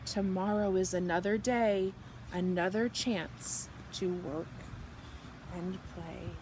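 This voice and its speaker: A woman reading a picture book aloud to young children, expressive and animated, drawing out her words and softening for bedtime lines. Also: on the low side, background noise. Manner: slow, animated delivery with drawn-out words and hushed, whispered good nights. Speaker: children's storybook reader.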